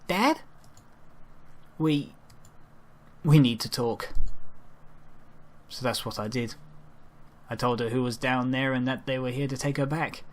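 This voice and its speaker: Measured, subdued man reading a story. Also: medium pitch. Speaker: amateur story reader. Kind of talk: dramatic first-person story narration.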